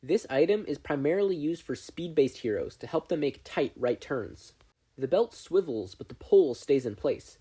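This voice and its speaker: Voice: mid-range voice, expressive, moderate pace, warm tone, slightly rough. A performer voicing a nervous but eager young teenage boy inventor in an audiobook-style story reading. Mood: earnest, explaining.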